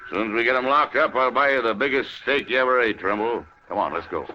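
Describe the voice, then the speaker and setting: A man's voice: mid-range voice, fast, loud music behind the voice, natural voice. An actor playing a bold, self-assured gunfighter lawman in an old radio western, blunt and hearty in manner. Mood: hearty, confident. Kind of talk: 1950s radio western acting. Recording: dull, faintly noisy old radio recording.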